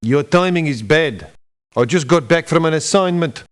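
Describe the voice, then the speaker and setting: An actor voicing a proud, sardonic doctor turned mercenary in a video game, haughty and scolding. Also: male voice, a little high, animated, moderate pace, lightly acted. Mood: scornful. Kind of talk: video game character lines.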